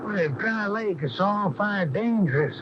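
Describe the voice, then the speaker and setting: An actor playing a pragmatic, easygoing small-town mayor in a radio mystery drama, with a colloquial, placating way of talking. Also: male voice, sits high, animated, unhurried. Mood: doubtful. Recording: muffled, faintly noisy 1980 AM radio broadcast.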